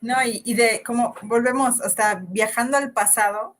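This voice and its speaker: A woman's voice: on the high side, steady tone, moderate pace, a bit bright. A woman hosting an interview, asking a guest a question with hesitant fillers. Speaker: interviewer, host.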